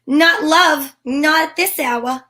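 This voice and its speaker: A breezy, flirtatious lady detective acted by a woman with a high voice. She wisecracks archly in the style of a 1940s radio mystery, moving between narration and banter. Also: slow pace, pushed and bright, exaggerated voice. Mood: coy, teasing. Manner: arch, wisecracking, endearments. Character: sassy female private eye, flirtatious and breezy.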